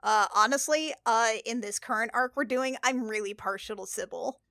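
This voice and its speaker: A woman's voice: fairly high pitch, moderate pace, pushed and bright, no room sound, clean studio sound. A woman who plays in a tabletop podcast, chatting casually and playfully in a group, often repeating words for emphasis. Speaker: podcast cast member, tabletop player.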